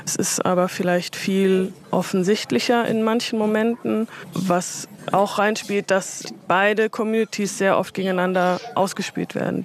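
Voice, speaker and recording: A woman from Germany, an interview guest who works as an educator, giving reflective, measured answers. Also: mid-range voice, slight background noise.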